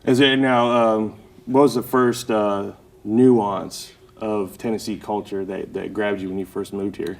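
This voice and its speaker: A man's voice: fairly low pitch, fairly even intonation, measured pace, gravelly. A man hosting a college studio interview, asking questions in a friendly, conversational way. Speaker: host.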